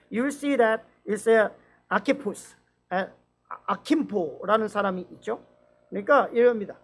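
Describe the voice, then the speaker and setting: Halting man preaching in two languages. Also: extremely high pitch. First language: Korean. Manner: slow, halting English with many restarts and fillers, quick fluent Korean. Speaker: pastor. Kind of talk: bilingual dawn-service sermon. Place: church sanctuary, indoors.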